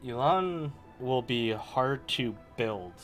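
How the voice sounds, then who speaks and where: A man's voice: mid-range voice, animated, slow pace. A man chatting casually as he streams a game, rambling and hesitant in his delivery. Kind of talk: casual chat while playing. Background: faint game music.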